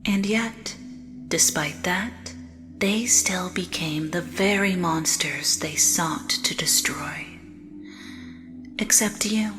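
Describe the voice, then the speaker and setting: Woman as slow, solemn porcelain doll guide. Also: lowish voice. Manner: measured, deliberate, formal and grave. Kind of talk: gothic audio drama acting. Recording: music behind the voice.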